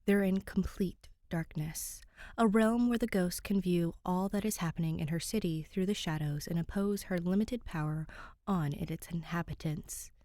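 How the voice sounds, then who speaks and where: A woman's voice: fairly low pitch, normal speed, warm tone, no echo. Woman narrating fiction, very dry sound. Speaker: podfic narrator.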